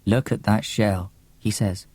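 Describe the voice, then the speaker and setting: A man plays a wounded young man in a storybook drama. He mutters low and dark, gruff and defensive, with curt, rough phrasing. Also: steady tone, a little slow, no room sound. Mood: absorbed muttering.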